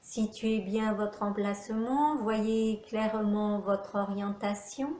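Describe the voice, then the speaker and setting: A woman yoga nidra teacher giving guided meditation instructions in measured phrases with pauses. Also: medium pitch, steady tone, a little mellow. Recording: echoey room.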